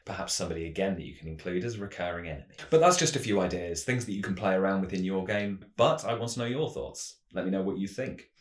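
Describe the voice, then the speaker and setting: Male tabletop game presenter, low-pitched, measured explanatory storytelling, roomy echoing sound. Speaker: tabletop roleplaying game channel host. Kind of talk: semi-scripted explanatory narration.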